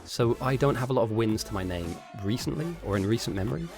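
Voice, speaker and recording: Hoarse man chatting on a game stream. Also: mid-range voice, expressive, fast talker, music in the background. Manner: chatty and rambling, with frequent restarts. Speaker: esports caster and streamer. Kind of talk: casual live-stream commentary, answering chat.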